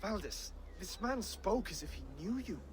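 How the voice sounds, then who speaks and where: A man's voice: high-pitched, lively intonation, moderate pace, a little hiss or hum. A man playing an earnest, principled young Saxon king with formal, solemn speech in a video game. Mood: firm. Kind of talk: dramatic video game cutscene acting.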